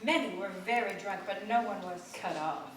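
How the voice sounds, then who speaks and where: A woman's voice: mid-range voice, moderate pace, background noise. A woman giving a live dramatic reading on a theater stage, rhythmic and intense. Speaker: stage reader. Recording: echoey live theater, distant mic. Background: music.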